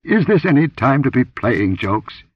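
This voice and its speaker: A man voicing a gruff, commanding barbarian warrior who grunts out blunt orders. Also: deep voice, big pitch swings, moderate pace, dry recording, distant mic, muffled recording, exaggerated voice.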